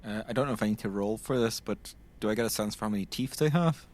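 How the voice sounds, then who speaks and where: A man's voice: fairly low pitch, fast, darkish tone. Casual man at game table. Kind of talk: casual improvised role-play.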